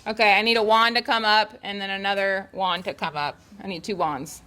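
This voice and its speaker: A woman's voice: mid-range voice, steady tone, fairly quick, sharp tone. A woman leading a volunteer training activity, giving informal instructions to a group in a casual, improvising way.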